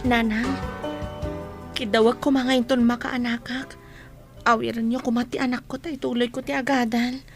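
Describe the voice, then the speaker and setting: Woman playing young wronged little sister, really high voice, ilocano accent, pleading but firm, radio drama. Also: level tone, normal speed, pauses often, over music, natural voice. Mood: defiant.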